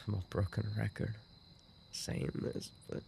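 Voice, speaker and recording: A man's voice: lowish voice, little pitch movement, measured pace, vocal fry, warm tone, a little hiss or hum. A man playing a dying, obsessive and remorseful yandere roommate in an audio roleplay, speaking in weak, broken, repeated phrases. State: weakened, dying from an injury. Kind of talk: boyfriend ASMR audio roleplay acting.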